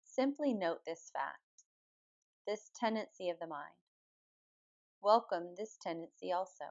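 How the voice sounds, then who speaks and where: A woman with a fairly low voice guides a deep relaxation practice. She speaks calmly and soothingly, giving measured instructions as a yoga nidra teacher. The recording is a little dull and muffled. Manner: calm, measured, soothing delivery. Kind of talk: guided meditation, slow spoken relaxation instruction.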